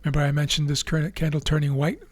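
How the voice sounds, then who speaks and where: A man with a raspy, tired voice, hosting a trading video and explaining live charts in a measured way with frequent fillers and restarts. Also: fairly high pitch, flat delivery, soft background music. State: losing his voice.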